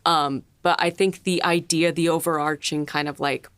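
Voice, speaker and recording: A woman with a low voice chats informally as a podcast host. She is reflective and halting, feeling for the right phrase, in a dry, close recording. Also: fairly even intonation, a bit bright, clean tone, dry recording. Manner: reflective, halting, searching for words. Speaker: podcast host and series writer. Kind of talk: casual behind-the-scenes chat.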